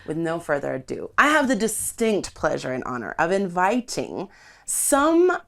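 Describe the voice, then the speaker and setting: A woman co-hosting a podcast, her voice croaky from a cold, talking with effusive enthusiasm. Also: fairly low pitch, huge pitch swings, normal speed. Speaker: podcast co-host. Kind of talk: casual podcast chat.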